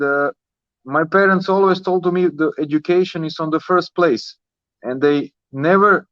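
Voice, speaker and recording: A man in his early thirties speaks with a Croatian accent and a fairly high voice. His English is halting and non-native, with repeated words and rephrasing. He talks casually as an interview guest, with a slightly dull recording. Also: a bit bright. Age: early 30s. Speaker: guest, professional footballer. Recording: roomy, slightly dull sound.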